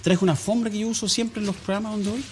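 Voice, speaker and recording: A man's voice: high voice, fairly even intonation, fast talker, darkish tone, slight background noise. A man with a Chilean accent, an evangelical pastor speaking insistently and defiantly as a guest in a TV studio.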